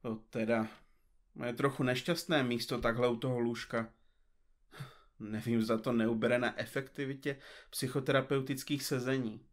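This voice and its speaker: A man's voice: mid-range voice, steady tone, close to an everyday voice. A man playing a pompous, absent-minded detective in an audio comedy drama, speaking in florid, formal, bookish phrases. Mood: pompous, fussy.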